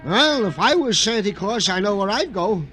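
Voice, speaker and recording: An actor playing a flustered, earnest, simple-hearted police sergeant in an old radio drama, stammering and pleading in naively formal words. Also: male voice, high-pitched, expressive, normal speed, sharp tone, faint music behind. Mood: earnest, upset. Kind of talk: 1950s radio drama acting.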